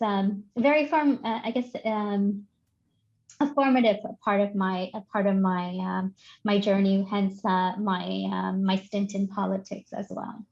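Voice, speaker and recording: A woman in her forties, born in Sri Lanka and raised in Canada, speaking as a guest in a podcast interview, thoughtful with many pauses and restarts. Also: mid-range voice, muffled recording. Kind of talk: casual interview chat.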